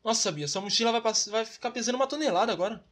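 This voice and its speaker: A woman's voice: a little high, very fast, fairly bright tone. A woman with a Brazilian accent voicing a carefree, teasing boy best friend in a children's comedy cartoon, breezy and colloquial. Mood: lighthearted.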